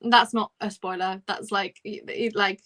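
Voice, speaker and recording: A woman's voice: medium pitch, fairly even intonation, measured pace, a bit bright. A woman hosting a casual book discussion on a livestream, talking in a chatty, informal way. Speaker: host of a book discussion live show.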